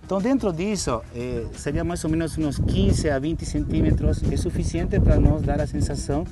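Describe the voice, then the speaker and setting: A man's voice: on the high side, moderate pace. A man from Argentina with an Argentine Spanish accent, a fitness instructor explaining in a friendly way and often ending phrases with "tá?". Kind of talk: TV studio interview and demonstration. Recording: TV studio with background music.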